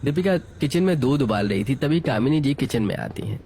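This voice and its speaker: A man's voice: mid-range voice, expressive, fairly quick, warm tone, slight background noise. A man reading scripted narration for a family moral story, setting out scenes as the narrator.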